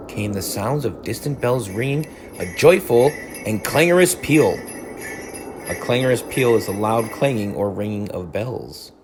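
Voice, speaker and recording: A man's voice: mid-range voice, slight background noise. A man narrating a children's storybook as a teacher reading aloud.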